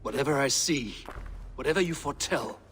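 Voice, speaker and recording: A blunt, guarded Viking raider, voiced by a man in a video game cutscene. His mid-pitched voice stays firm and terse, with faint music beneath. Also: a little fast, fairly bright tone, natural voice. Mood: troubled. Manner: firm, terse, plain-spoken.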